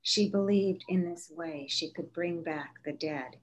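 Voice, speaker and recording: A woman's voice: fairly low pitch, a little slow, poor audio. A woman giving a scripted literary reading of lyrical prose poetry. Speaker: author reading aloud.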